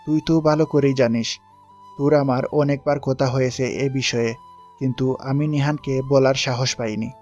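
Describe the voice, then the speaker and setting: A man performs an aggrieved teenage boy in an audio story. He speaks in a mid-pitched male voice, earnest and bitter, like a friend betrayed. Faint music plays underneath. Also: fairly even intonation, normal speed, darkish tone, dull audio, natural voice. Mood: hurt, bitter. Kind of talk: Bengali audio story acting.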